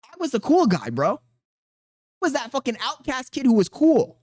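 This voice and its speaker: A man's voice: very high voice, wildly swooping pitch, normal speed, darkish tone. Man, thin voice, blunt, mocking, profane reaction host. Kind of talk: casual reaction commentary to chat.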